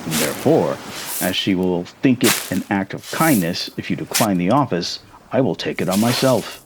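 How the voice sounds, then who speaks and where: A man's voice: deep voice, expressive, moderate pace, noisy background. A man voicing a genteel middle-aged father in a storybook, speaking formally with dry, teasing mock seriousness.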